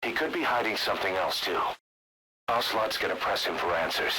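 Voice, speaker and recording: An actor playing a gruff, businesslike military second-in-command giving scripted mission briefings to his superior in a game. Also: lowish voice, a little fast, low-quality recording. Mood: urgent, businesslike. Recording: loud helicopter-like vehicle noise.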